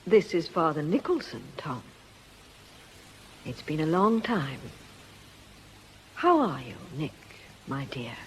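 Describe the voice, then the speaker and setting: A woman's voice: low-pitched, very animated, takes it slowly, long pauses, slight background noise, heavily acted. An actress playing a world-weary, sardonic woman in a radio drama, with a wry, theatrical manner. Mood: warm, knowing. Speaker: actor.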